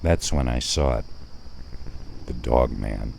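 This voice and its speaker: A man narrating a first-person horror story in a deliberate, suspenseful way with dramatic pauses. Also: a bass voice, creaky, heavy background noise. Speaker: narrator of scary stories.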